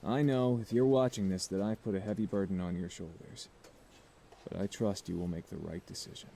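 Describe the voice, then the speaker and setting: An actor playing a middle-aged scientist father in a game, solemnly confessing to his son in measured, remorseful speech. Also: low voice, fairly even intonation, vocal fry, mellow, a little hiss or hum. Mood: tender farewell. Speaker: game character in recorded message. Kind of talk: dramatic visual novel acting.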